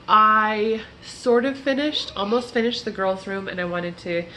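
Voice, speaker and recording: A woman's voice: fairly low pitch, pushed and bright, rough recording. A woman in her thirties talks in a chatty, rambling way, with plenty of ums, while she walks a handheld camera through her family home. Her nose is congested from a cold, and a faint hiss sits behind her voice. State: stuffed-up nose. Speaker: vlogger. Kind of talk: casual home tour vlog. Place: family home, kitchen and kids' bedroom, indoors. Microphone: handheld camera at arm's length.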